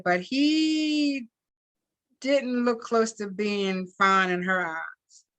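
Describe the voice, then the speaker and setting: A woman reads a story aloud at a slow, measured pace. Her delivery is expressive and deliberate, with a halting repeat of words here and there, in a medium-pitched voice. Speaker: reader and event host. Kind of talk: expressive read-aloud of fiction.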